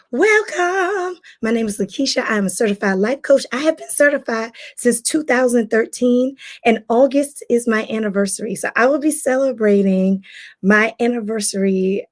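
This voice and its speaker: Hoarse woman hosting a chatty livestream. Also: a little high. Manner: greets viewers by name, chatty. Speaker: life coach hosting a livestream. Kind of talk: casual livestream chat.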